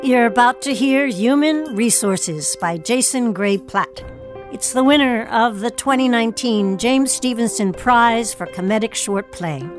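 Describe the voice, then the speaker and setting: A woman hosting an audio theatre programme, reading a scripted introduction in a measured presenter's delivery. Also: on the high side. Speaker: host and announcer.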